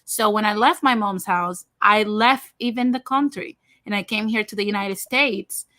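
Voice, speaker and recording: Bright-voiced Puerto Rican woman host. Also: mid-range voice, moderate pace, dry recording, studio quality. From Puerto Rico. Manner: talkative, frank, addresses viewers directly. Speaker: host of a livestream talk show. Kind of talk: casual livestream chat.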